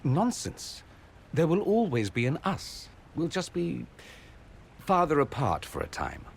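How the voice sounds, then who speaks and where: Witty, theatrical nobleman mage with lively delivery. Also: a little high, a little slow, gravelly, slight background noise, heavily acted. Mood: droll, reassuring. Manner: arch, theatrical, droll. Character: witty, flamboyant mage nobleman, tender and wry. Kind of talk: dramatic video game dialogue.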